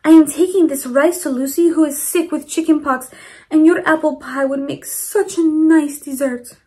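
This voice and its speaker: A woman's voice: high voice, steady tone, moderate pace, dark tone, pitched above the performer's own voice, lightly acted. A woman voicing a kindly, polite grandmother in a children's storybook, courteous and eager in her delivery.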